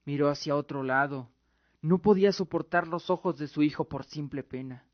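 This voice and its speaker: A man's voice: fairly high pitch, normal speed, no echo. A man giving dramatic scripted narration as the narrator of an audio drama. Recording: dull lo-fi recording with music. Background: music.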